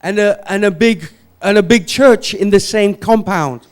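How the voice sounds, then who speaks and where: A man, a native English speaker, preaching in short phrases with pauses for an interpreter and repeating them for emphasis. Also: high-pitched, clean tone. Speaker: visiting preacher.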